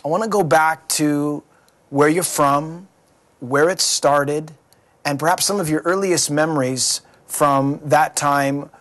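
Earnest preacherly male host. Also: on the high side. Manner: earnest, preacherly, leading questions. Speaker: pastor, show host. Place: studio.

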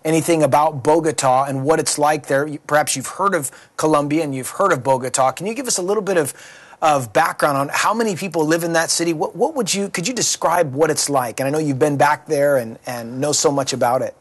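A man's voice: fairly high pitch, a little fast, husky. Earnest preacherly male host. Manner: earnest, preacherly, leading questions. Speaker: pastor, show host. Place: studio.

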